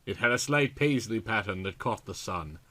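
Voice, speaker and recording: A man's voice: lowish voice, lively intonation, moderate pace, sharp tone. A man reading a story aloud in a theatrical, comic style with exaggerated, melodramatic delivery. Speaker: audiobook reader.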